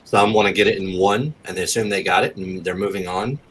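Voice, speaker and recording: A man's voice: low voice, steady tone, a little fast, fairly bright tone, slight background noise, poor audio. A man working as an actor, talking casually and self-deprecatingly as an interview guest.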